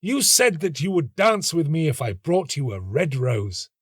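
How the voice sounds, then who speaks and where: A man voicing a lovestruck, excitable young student in a storybook, exclamatory and earnest. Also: fairly high pitch, a little slow, husky, dry recording, clean studio sound, voice pushed up, heavily acted. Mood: eager, romantic.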